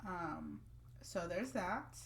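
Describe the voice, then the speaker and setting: A woman co-hosting a book podcast who is congested with a head cold, talking in a drawn-out way with frequent ums, and reading a book blurb. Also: lowish voice, animated, gravelly, slight background noise. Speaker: podcast co-host.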